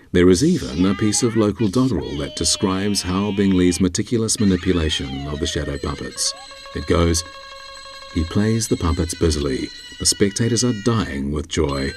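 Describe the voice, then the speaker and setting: Very deep-voiced male documentary narrator over music. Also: darkish tone.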